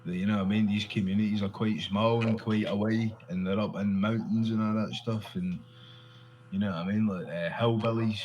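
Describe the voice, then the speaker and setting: A man's voice: on the low side, fairly even intonation, brisk, a little mellow, dull audio, slight background noise. A Glaswegian Scottish man in casual conversation, talking loosely, repeating himself and swearing freely. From Glasgow, Scotland.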